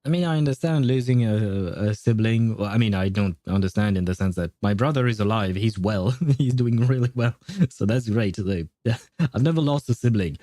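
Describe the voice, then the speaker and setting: A man's voice: lowish voice, normal speed, mellow, dry recording. A man doing casual livestream game commentary, jokey and rambling as he thinks aloud into a close mic.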